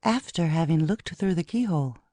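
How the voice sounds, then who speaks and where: A woman's voice: low voice, lively intonation, brisk, dark tone, no echo. A woman reading stage directions and scene headings as scripted play narration.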